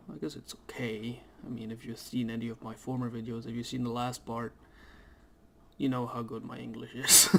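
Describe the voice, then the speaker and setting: A young man talks casually over a game at home into a desk mic, and he rambles and hesitates with plenty of fillers. His throat is irritated, so he keeps clearing it. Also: medium pitch, a little mellow, a little hiss or hum. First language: Dutch. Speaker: gaming YouTuber. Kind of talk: casual let's play commentary. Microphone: desk microphone.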